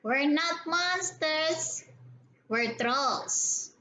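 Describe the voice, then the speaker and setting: A secretive, medium-sized storybook troll, acted by a woman. The voice is high and unhurried. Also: pushed and bright, full character voice, low-quality recording. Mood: sly, secretive. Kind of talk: children's storybook read-aloud.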